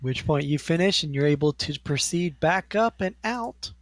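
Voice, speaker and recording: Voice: medium pitch, expressive, no echo. Dry-sounding tabletop game master narrator. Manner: descriptive, unhurried scene narration.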